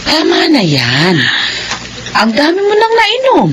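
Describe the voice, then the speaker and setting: A woman's voice: high voice, huge pitch swings, slow pace, heavily acted. An actress with a Filipino accent playing an older, protective mother and grandmother, firm and caring, in a melodrama. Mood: pleading, firm. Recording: clear background noise and ambience.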